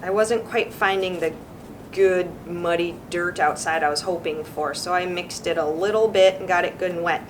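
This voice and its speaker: A woman hosting a children's craft session, showing the steps in an informal, encouraging, chatty way. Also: moderate pace. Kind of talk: casual craft demonstration for children. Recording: clear background noise with low rumble.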